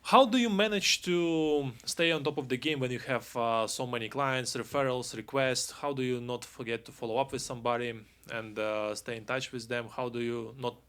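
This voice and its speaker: A man hosting a podcast, asking steady, probing interview questions. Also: mid-range voice, normal speed, few pauses, clear, resonant tone. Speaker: podcast host.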